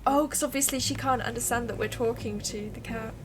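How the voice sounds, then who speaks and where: A woman livestreamer giving casual, expressive, giggly game commentary and reading the game's text aloud. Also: on the high side, fairly quick, noisy background. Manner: giggly, expressive, reacts out loud.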